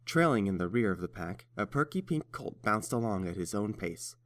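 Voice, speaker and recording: A man's voice: on the low side, lively intonation, moderate pace, a bit bright. A man reading scripted story narration as the narrator of a fan fiction tale.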